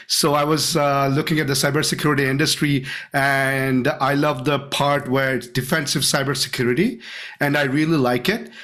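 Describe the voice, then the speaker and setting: A man's voice: medium pitch, a bit bright. A middle-aged man from Canada introducing himself informally and enthusiastically to classmates on a group video call. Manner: enthusiastic, chatty. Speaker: course student.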